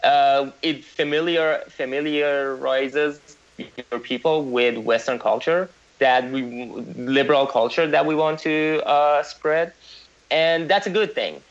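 A man from Iran, a podcast guest talking in a casual discussion, animated, with frequent restarts and fillers. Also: a little high, slow pace. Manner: animated, many restarts and fillers, laughs mid-sentence. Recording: dull, slightly lo-fi remote audio.

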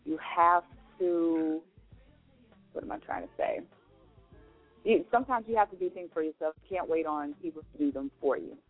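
A woman's voice: deep voice, moderate pace, long pauses. A woman singer answering interview questions, easygoing and a bit hesitant as she searches for words. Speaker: guest singer. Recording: tinny phone-line sound, faint music behind.

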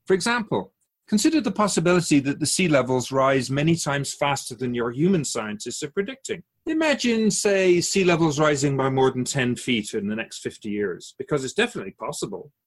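A man playing an otherworldly, patient keeper of souls in a radio drama, asking measured, probing questions in a husky voice. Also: mid-range voice, very animated. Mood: calmly instructive.

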